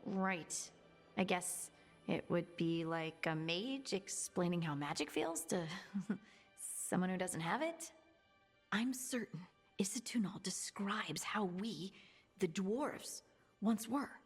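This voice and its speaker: An actress voicing a dreamy, earnest dwarf woman scholar in a video game, musing haltingly in a breathy voice. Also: lowish voice, expressive, heavily acted. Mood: earnest, uncertain. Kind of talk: video game dialogue acting. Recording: long hall reverb, music underneath.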